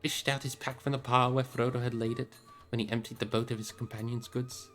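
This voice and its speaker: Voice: medium pitch, flat delivery, brisk, fairly bright tone, faint music behind. A live streamer reading a fantasy novel aloud as narrator, in a storybook narration style.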